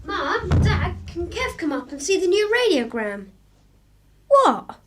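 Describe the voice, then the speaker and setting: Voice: very high-pitched, sing-song, slow pace, noisy background, heavily acted. A performer playing an eager, hesitant schoolboy son in a radio drama. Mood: eager, defensive.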